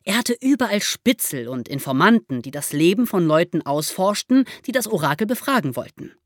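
A woman's voice: mid-range voice, no echo, clean studio sound. A woman co-hosting a podcast, moving between conversational remarks and explanatory narration. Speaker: podcast co-host.

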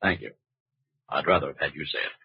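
An actor playing a firm, courteous FBI agent with a clipped, official manner in a 1940s radio drama. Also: male voice, rumbling low, quick pace, creaky, breathy edge, dry recording, heavily acted. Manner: clipped, official, matter-of-fact. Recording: muffled old radio broadcast recording.

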